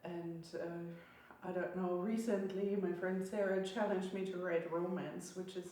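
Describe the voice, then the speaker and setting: A woman's voice: lowish voice, little pitch movement, measured pace, mellow. Woman chatting casually in a very echoey room. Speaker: writer at a workshop. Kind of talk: casual self-introduction. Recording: echoey room, distant mic, faint noise.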